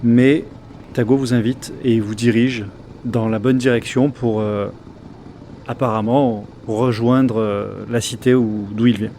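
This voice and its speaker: A man narrating as game master of a tabletop game, halting with many fillers and restarts. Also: mid-range voice, fairly even intonation, mellow, a little hiss or hum.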